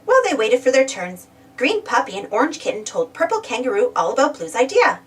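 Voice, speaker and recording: Very animated, high-voiced woman reading a storybook. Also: brisk, far from the mic. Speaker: storybook reader. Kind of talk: animated children's read-aloud narration.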